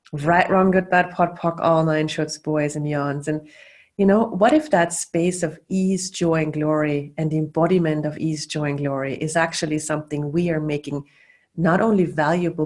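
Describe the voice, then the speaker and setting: Chatty woman, lowish voice. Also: darkish tone. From Austria. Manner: loose and chatty, many fillers and restarts. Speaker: facilitator. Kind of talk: casual teaching talk. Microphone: video call.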